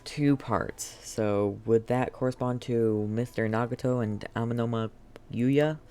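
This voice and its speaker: A man's voice: medium pitch, fairly even intonation, a little slow, a little mellow, clean tone. A young adult man, a game streamer, giving casual play-along commentary. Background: game music.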